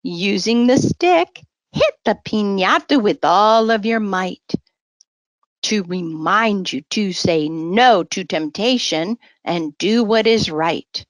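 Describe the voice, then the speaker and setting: A woman reading the part of a kindly father in a children's storybook, solemn, deliberate and moralizing. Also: medium pitch, lively intonation, dry recording. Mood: instructive, encouraging.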